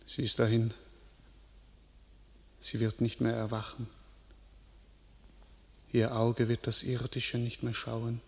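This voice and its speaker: An actor playing a grieving king who speaks in grave, measured verse in a classic radio play. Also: medium pitch, completely flat delivery, gravelly. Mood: mournful. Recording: reverberant studio.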